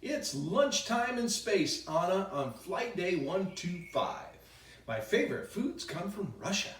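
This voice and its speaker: A man reading a children's bedtime story aloud in a playful, expressive way with chatty asides. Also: high-pitched, a little slow, a little hiss or hum. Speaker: children's author reading his own book. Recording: roomy echo, distant microphone.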